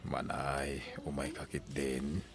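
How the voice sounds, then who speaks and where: A man's voice: a bass voice, monotone, slow, creaky, very noisy, exaggerated voice. A man acting an eager new husband in an Ilocano audio drama, coaxing and insistent. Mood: amorous, wheedling.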